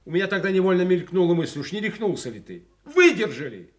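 High-pitched, animated old war comrade. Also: male voice, quick pace. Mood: encouraging, urgent. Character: lively senior official, loyal old war comrade. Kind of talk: Soviet radio play acting.

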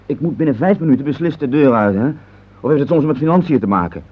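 Grumpy dismissive middle-aged husband, old radio play, talks fast, muffled recording. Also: male voice, mid-range voice, animated, husky. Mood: harried, placating. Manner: impatient, grumbling, dismissive. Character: irritable, evasive middle-aged husband, a harried office man. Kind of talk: naturalistic Dutch radio play acting.

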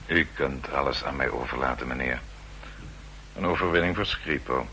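Extremely deep-voiced discreet servant. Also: male voice, moderate pace, pushed and bright, full character voice. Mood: discreet, deferential. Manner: formal, subservient. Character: deferential, discreet male servant and spy accomplice. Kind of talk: 1960s Dutch radio play acting. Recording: humming, hissy 1960s radio recording.

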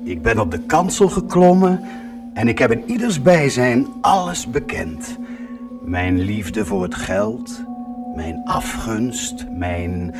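An actor playing a bitter, mocking fallen priest tormented by a devil, in a theatrical, accusing radio drama style. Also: male voice, fairly low pitch, animated, music in the background. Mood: defiant confession. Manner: slow, accusing, sardonic, solemn declaiming.

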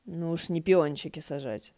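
Женщина озвучивает язвительного, угрюмого демона в аудиокниге, говоря сухо и отрывисто. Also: female voice, low voice, moderate pace, warm tone. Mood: snide. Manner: snide, curt, dry. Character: sardonic, grumpy male demon posing as cultivator. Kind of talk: fan fiction audiobook dialogue.

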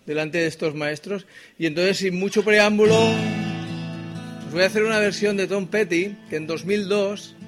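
A man's voice: high-pitched, moderate pace, soft background music. A male singer-songwriter giving informal stage banter, projecting his voice to the back of the room. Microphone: speaking unamplified, mic only for radio pickup.

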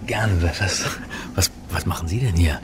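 A somewhat low-pitched man as a scholar in over his head. He is hesitant, exasperated and sardonic, in radio drama dialogue and wry narration, with faint noise behind. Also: a little slow. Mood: flustered. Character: flustered, wry male scholar caught in trouble.

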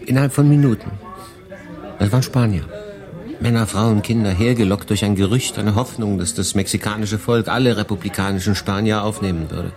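A male actor playing a world-weary refugee in 1940s France, confiding his story in a dramatic monologue with deliberate pauses. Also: on the low side, a crowd in the background, close to an everyday voice. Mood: wry, recounting. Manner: conversational, deliberate pauses, shifting between emphatic and hushed. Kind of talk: dramatic first-person monologue, literary audio drama.

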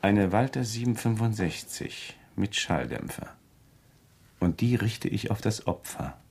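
Deranged killer, German radio crime play, low husky voice, coolly menacing, insistent, deliberate. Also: male voice, expressive, darkish tone, heavily acted. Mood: taunting, controlled. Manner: deliberate, insistent, lecturing, repeats himself. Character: deranged male killer, coolly menacing, fanatically convinced of his mission.

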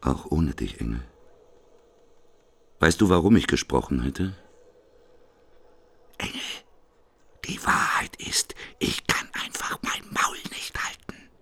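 An actor playing a despairing, raging doomsday prophet in a radio play, with a hoarse, raspy voice. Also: male voice, extremely low pitch, lively intonation, takes it slowly, pauses often, fairly bright tone, in a whisper, heavily acted. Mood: resigned, confessional. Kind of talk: avant-garde German radio play monologue.

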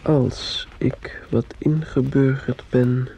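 Troubled young male student, radio drama, mellow slightly husky voice, dull old recording. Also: medium pitch, fairly even intonation, slow pace, heavily acted. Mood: cold, measured.

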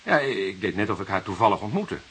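A man's voice: lowish voice, sing-song, quick pace, fairly bright tone. An actor playing a nervous, defensive murder suspect in a Dutch radio drama, hesitant and stammering as he repeats himself. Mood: uneasy, explaining. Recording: hissy radio play recording.

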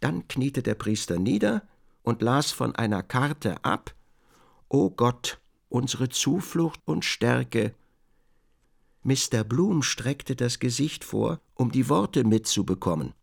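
A man's voice: mid-range voice, expressive, slow pace, creaky. A man narrating a literary radio drama as a storyteller, reading scripted prose.

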